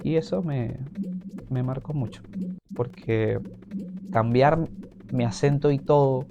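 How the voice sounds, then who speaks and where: A man with a Venezuelan accent speaking slowly and reflectively as a migrant in a documentary. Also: medium pitch, vocal fry, lo-fi sound, background noise. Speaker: migrant interviewee. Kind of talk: documentary testimony.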